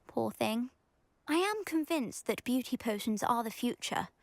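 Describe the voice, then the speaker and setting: A high-voiced, snobbish British schoolgirl. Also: lively intonation, unhurried, no echo. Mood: smug, pleased. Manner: pompous, affectedly genteel. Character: snobbish, vain teenage schoolgirl entrepreneur, sugary and scheming. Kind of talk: video game character acting.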